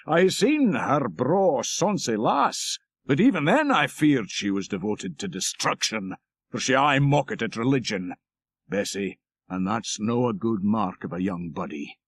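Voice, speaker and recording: Storybook role: male reader as sententious old Scottish shepherd, stern and preachy, lively rise and fall, close dry sound. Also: mid-range voice, a little slow, no echo. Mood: sanctimonious.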